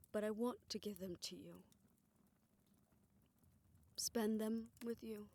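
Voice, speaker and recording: A woman playing a tender, self-assured older woman in a romantic audio roleplay, speaking in a reflective, gently formal way. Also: medium pitch, close to an everyday voice. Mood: tender, wistful. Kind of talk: romantic audio roleplay, intimate scripted monologue.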